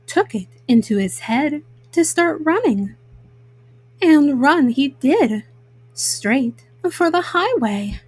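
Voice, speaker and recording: A woman's voice: high-pitched, darkish tone, no echo, rough recording. A woman storyteller narrating a children's storybook.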